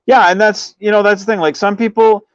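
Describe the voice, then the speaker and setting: A man's voice: high-pitched, fairly even intonation, fairly quick, clean tone, no echo, highs cut off. A man hosting a livestream in casual chat with viewers, rambling and leaning on verbal tics as he talks. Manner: rambling, frequent "you know" verbal tic. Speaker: livestream host.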